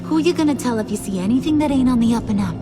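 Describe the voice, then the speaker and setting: A woman's voice: a little high, animated, rapid-fire, natural voice. A woman playing a playful but menacing female gang boss in a video game, slangy, bossy and teasing. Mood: commanding. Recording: music behind the voice.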